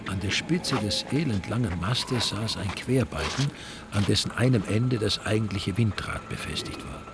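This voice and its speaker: A man's voice: lowish voice, animated, normal speed, noisy background. A man narrating a scripted crime story in a dry, wry storytelling manner. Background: music under the narration.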